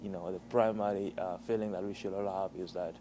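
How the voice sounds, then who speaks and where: A man's voice: low-pitched, fairly even intonation, fairly quick, noisy background. A man, an international student, giving thoughtful interview answers and often saying "you know".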